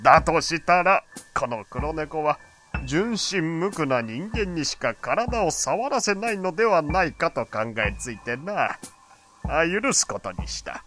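A proud old gentleman, long-winded and doting. His voice sits a little high and swings animatedly, with pompous, old-fashioned phrasing. It is audio drama with music behind him. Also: sing-song, unhurried. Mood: grumbling, explaining. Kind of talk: Japanese audio drama acting.

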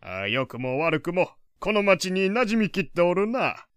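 An actor playing an opinionated, kindly old gentleman in an audio drama, lecturing in old-fashioned elderly phrasing. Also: high-pitched, big pitch swings, normal speed, clean tone, dry recording, studio quality. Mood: pleased, explaining. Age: older. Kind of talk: Japanese audio drama acting.

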